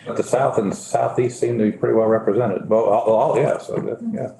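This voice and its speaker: A man's voice: lowish voice, vocal fry. A man directing a regional commission, giving an informal briefing to a committee and talking with plenty of fillers and restarts. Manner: relaxed, halting, many fillers and restarts. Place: legislative committee hearing. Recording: roomy hearing-room audio with faint hiss.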